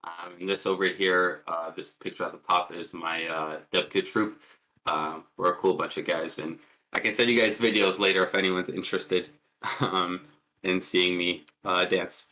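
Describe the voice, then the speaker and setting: A man's voice: sits low, little pitch movement, moderate pace, pushed and bright, lo-fi sound. A man in his twenties presenting an informal online workshop in a casual, self-deprecating and hesitant way over a call. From born in Jordan, lived in Palestine as a child. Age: 20s. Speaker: workshop presenter, student and threat hunter. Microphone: online call with push-to-talk.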